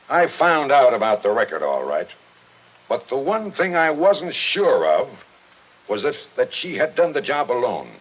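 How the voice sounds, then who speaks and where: A man playing a gruff, relentless police captain with forceful, clipped talk in a 1940s radio drama. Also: mid-range voice. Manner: forceful, insistent, clipped tough-cop talk. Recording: dull vintage 1940s radio recording.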